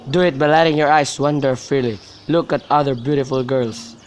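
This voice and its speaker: A man's voice: mid-range voice, fairly even intonation, brisk, lightly acted. A young man playing a concerned, sensible cousin in an amateur radio drama of modern-English Shakespeare, with birdsong behind him. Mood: advising. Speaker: student actor. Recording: faint background noise with outdoor birdsong.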